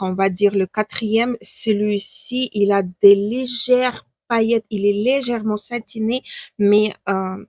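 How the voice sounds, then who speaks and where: Ukrainian girl vlogging makeup stuff, kinda high voice, lots of euh and restarts, dry close mic. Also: no room sound. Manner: chatty, with frequent hesitations and restarts. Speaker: beauty vlogger. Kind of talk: casual makeup review.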